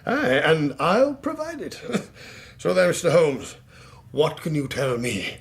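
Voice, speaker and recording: An actor playing a bluff, jovial old country squire in a radio drama, speaking emphatically and with challenge. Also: male voice, on the high side, wildly swooping pitch, slow pace, vocal fry, slight background noise, full character voice. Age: older.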